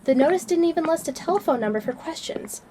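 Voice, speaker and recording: A woman playing a kindly, brisk and fussy tutor in an audio drama, affectionate but gently scolding. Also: very high-pitched, animated, slight background noise.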